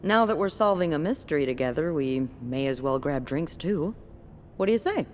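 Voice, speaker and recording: A woman's voice: deep voice, animated, moderate pace, a little mellow, a little hiss or hum. An actress voicing a wry, playful, self-assured young woman with a teasing manner in a naturalistic video game scene. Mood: playful, candid.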